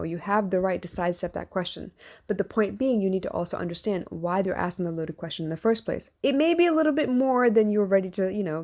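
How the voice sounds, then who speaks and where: A woman in her thirties hosting a solo podcast, speaking in a deep, husky voice with a reflective, earnest, conversational manner. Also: a little mellow. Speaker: podcast host and relationship coach.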